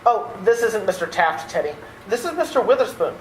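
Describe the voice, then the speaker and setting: A woman's voice: mid-range voice, very animated, a little fast, lightly acted. A woman playing a harried, placating family member in an amateur radio play. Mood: coaxing. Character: harried, placating relative humoring a deluded brother. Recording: echoey room with faint noise.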